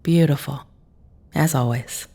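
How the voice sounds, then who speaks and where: An actress playing a grave, loyal friend in an audio drama, a woman with a low, gravelly voice and formal, firm phrasing. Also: measured pace, dark tone. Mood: gentle, solemn. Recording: echoey, roomy sound.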